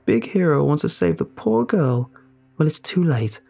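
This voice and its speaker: A man's voice: mid-range voice, animated, moderate pace, warm tone, husky, no room sound. A man voicing a defiant, sarcastic young woman in an audio story.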